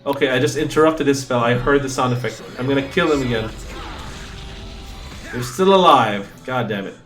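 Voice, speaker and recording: A streamer whose first language is Cebuano jokes his way through a fantasy game, reading quest text aloud. His voice sits at an average pitch for a man. Soft game music runs underneath. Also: normal speed. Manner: chatty, jokey, reads game text aloud. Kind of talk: let's play commentary.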